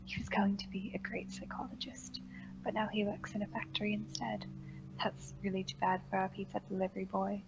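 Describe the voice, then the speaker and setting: A woman voicing a calm, manipulative female seer in a horror story reading, in a whispery, soothing, slow hushed voice. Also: mid-range voice, gravelly, lo-fi sound, natural voice. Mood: gloating.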